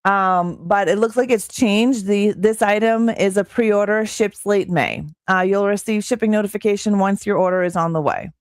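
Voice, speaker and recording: Young canadian gal streaming, chatty and hyped, voice kinda strained, dead dry sound. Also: female voice, medium pitch, moderate pace, few pauses, no echo. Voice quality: slightly strained voice. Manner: excitable, chatty, many fillers. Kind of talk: casual live-stream chat reading chat.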